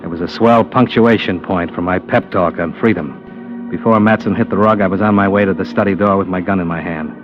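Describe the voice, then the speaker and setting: An actor playing a tough, principled American investigator in a vintage radio drama, speaking in a terse, firm, wry manner. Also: male voice, deep voice, steady tone, a little fast, lightly acted. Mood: dry narration. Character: tough, principled male insurance investigator, hard-boiled and resolute. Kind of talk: 1940s-50s radio drama acting and narration. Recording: dull old radio recording, music behind.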